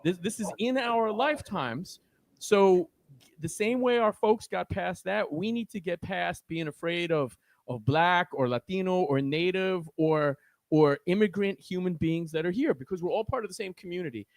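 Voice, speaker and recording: A man's voice: high voice, normal speed, vocal fry, no echo. A man with a New York accent speaking as a guest organizer in an impassioned podcast discussion, earnest and preachy, often restarting his words.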